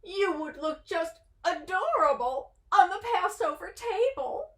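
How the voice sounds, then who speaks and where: A woman's voice: very high-pitched, big pitch swings, a little slow, echoey room, voice pushed up, full character voice. A woman voicing a doting storybook auntie who coos and pleads. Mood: pleading. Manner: cooing, pleading.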